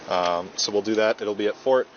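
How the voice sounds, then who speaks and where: Calm low-voiced man on a police bodycam. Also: a little fast. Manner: calm, patient, reads forms verbatim. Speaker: police officer. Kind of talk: procedural arrest talk. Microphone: body camera. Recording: muffled bodycam audio with loud noise.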